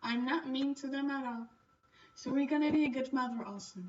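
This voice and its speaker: High-voiced woman streamer, chatty and playful. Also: fairly even intonation, fairly quick, far from the mic, dull audio. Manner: playful, chatty, talks to viewers. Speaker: livestreamer playing a visual novel. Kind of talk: casual chat while gaming.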